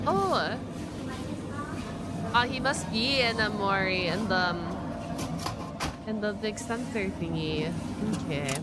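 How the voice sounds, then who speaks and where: A woman streamer giving a casual live reaction, excitable, slangy and freely sweary. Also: medium pitch, takes it slowly, pauses often, very noisy. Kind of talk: casual livestream reaction chat.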